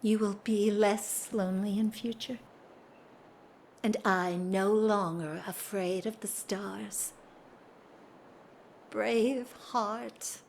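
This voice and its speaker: A woman's voice: medium pitch, steady tone, creaky, a little mellow, a little hiss or hum. An actress playing a dignified married society woman in love, speaking formally and earnestly in a period audio drama. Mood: bittersweet farewell. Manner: formal, earnest, theatrical, measured delivery.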